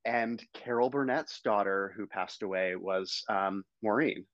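Animated man with a low voice. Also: unhurried, no echo, dull audio. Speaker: guest. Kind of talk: casual podcast chat.

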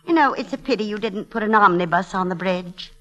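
An actress playing a gentle, fretful wife in 1940s radio comedy, hesitant and placating, on a muffled old recording. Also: female voice, mid-range voice, a little fast, natural voice. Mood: politely puzzled. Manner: hesitant, tactful, sweetly placating. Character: gentle, fretful, soothing wife humoring her husband.

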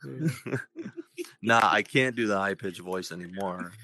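A man in his twenties who hosts a podcast, with a deep radio voice, chatting casually and laughing often. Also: a little slow, pushed and bright. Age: 20s. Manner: joking, laughs often between phrases. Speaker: podcast host. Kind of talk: casual chat.

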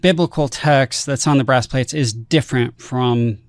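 A man's voice: medium pitch, moderate pace, no room sound, clean studio sound. A man on a podcast explaining a religious text step by step, often posing rhetorical questions. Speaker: guest scholar.

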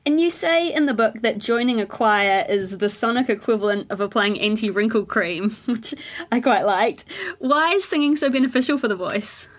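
Woman interviewer, fairly high voice, crisp measured questions. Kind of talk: radio-style interview questions.

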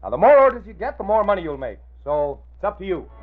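A pushy sales manager from old-time radio drama. He talks very fast in a clipped, businesslike way at an ordinary pitch. The recording is narrow and phone-like, as on an aged broadcast. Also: sing-song. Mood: brisk, rallying. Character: brisk, hustling sales manager, a man. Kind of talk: 1940s radio drama acting.

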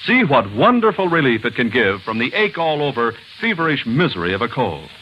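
A man's voice: medium pitch, sing-song, fairly quick. A man reading an old-time radio advertisement as an earnest, advisory announcer. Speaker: commercial announcer. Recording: dull, hissy old radio recording.

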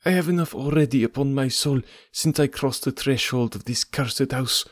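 A weary but proud foreign woman confessing with quiet dignity, as a male narrator puts her on. Her voice sits well above his own and is a little husky. The recording is dry and close. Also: medium pitch, normal speed, no room sound, voice pushed up, natural voice. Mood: disdainful.

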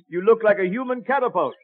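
An actor playing a hearty, teasing colonial gentleman friend in an old-time radio drama. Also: high voice, moderate pace, close to an everyday voice. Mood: jovial, teasing. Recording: muffled old radio broadcast.

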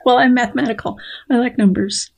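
A woman speaking as a podcast guest, measured and thoughtful as she reads and comments. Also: fairly high pitch, steady tone, creaky, music in the background. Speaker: guest biologist. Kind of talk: podcast conversation with dramatic readings.

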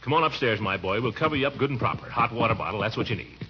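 A man's voice: medium pitch, animated, rapid-fire, lightly acted. Man playing a pompous, blustering uncle on noisy old radio. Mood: fussing, concerned. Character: pompous, grumpy, fretful middle-aged uncle and guardian. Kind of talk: 1940s radio comedy acting. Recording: dull, noisy vintage radio recording.

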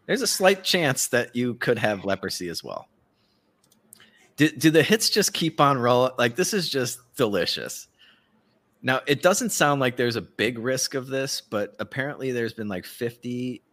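A man's voice: fairly low pitch, a bit bright. A man co-hosting a casual live podcast, talking in a mocking, sarcastic way and often chuckling as he speaks. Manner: swears casually. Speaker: podcast co-host.

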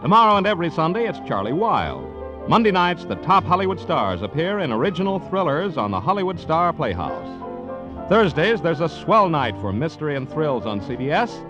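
A man's voice: a little high, big pitch swings, normal speed. An American man announcing for network radio in a 1950s broadcast style. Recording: dull old radio broadcast recording. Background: music.

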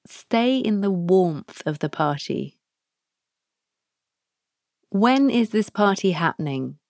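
Slow, calm woman guiding a meditation. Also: mid-range voice, no room sound, clean studio sound. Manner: slow, soft, pausing between prompts. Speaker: podcast host guiding a practice. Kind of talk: guided meditation.

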